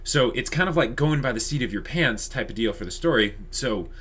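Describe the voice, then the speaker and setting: A man's voice: medium pitch, expressive, fairly quick, a bit bright. A man co-hosting a channel, talking in a casual, unscripted way. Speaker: YouTube channel co-host.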